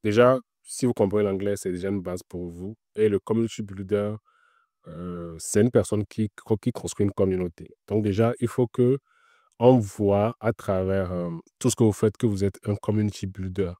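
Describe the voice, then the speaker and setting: A man's voice: lowish voice, fairly even intonation, normal speed, mellow, dry recording, studio quality. A man being interviewed, giving casual career advice in an explanatory way, repeating his points with frequent filler words. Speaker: interview guest working in Web3.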